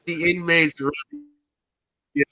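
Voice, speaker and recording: A man's voice: fairly high pitch, level tone, slow. A man hosting a podcast in casual conversation over an online call. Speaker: podcast host.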